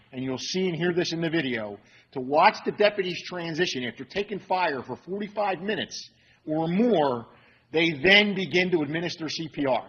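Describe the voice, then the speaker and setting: American sheriff type guy briefing press, raspy husky, slow and emphatic, muffled sound. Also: on the high side, fairly bright tone. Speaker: sheriff's official. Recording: dull, muffled audio.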